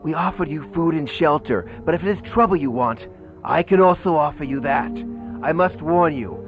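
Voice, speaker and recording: A man's voice: a little high, moderate pace, close to an everyday voice. An actor playing a protective, sceptical male villager in a radio horror play, speaking in formal, stagey phrases. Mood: wary, defiant. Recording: muffled lo-fi radio play, music underneath. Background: music.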